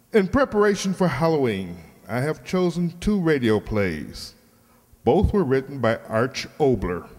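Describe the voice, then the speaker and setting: Unhurried man with highly varied intonation. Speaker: director and host of a radio play group. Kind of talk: scripted announcement to an audience. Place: indoor hall, live stage event. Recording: reverberant hall.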